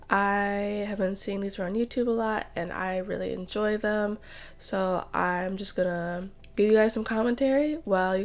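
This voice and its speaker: Hoarse, congested woman with a gravelly voice. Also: mid-range voice. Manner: chatty, opinionated, conversational. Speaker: art YouTuber. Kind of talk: casual commentary while drawing.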